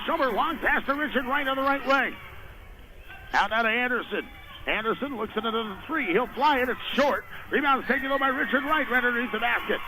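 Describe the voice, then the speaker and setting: A man doing live radio play-by-play of a basketball game in clipped, urgent phrases. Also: sits high. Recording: noisy radio broadcast of a game.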